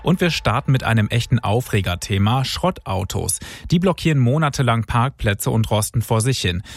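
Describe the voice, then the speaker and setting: A man hosting a regional radio news show, reading the items in a brisk, clear scripted style. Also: mid-range voice, lively intonation, dry recording, studio quality. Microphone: studio microphone.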